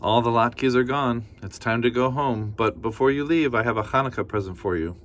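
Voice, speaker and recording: A man voicing a kindly old grandmother who fusses warmly over her guest. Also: medium pitch, a little fast, a little hiss or hum, close to an everyday voice. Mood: doting. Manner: doting, gently scolding.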